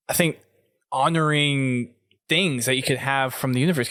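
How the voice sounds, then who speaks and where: Measured man hosting, mid-pitched, dry sound. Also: a bit bright, no echo. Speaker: podcast host. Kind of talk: casual podcast discussion.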